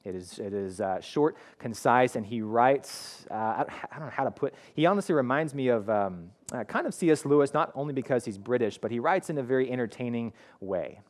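Conversational preacher teaching in a hall. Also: male voice, medium pitch, a little fast, hardly any pauses. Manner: conversational, animated teaching with frequent restarts. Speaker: pastor. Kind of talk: sermon. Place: school hall with curtained stage.

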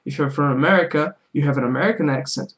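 A man from Brazil with a Brazilian Portuguese accent, speaking English as a second language and explaining his views on language learning in a casual talk to camera, with frequent restarts. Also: a little high. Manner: explaining, with many restarts and drawn-out words.

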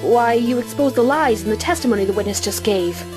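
A woman's voice: a little high, fairly quick, music in the background, lightly acted. A woman playing a confident mentor lawyer coaching a rookie in an anime-style game fan dub. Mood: encouraging, firm.

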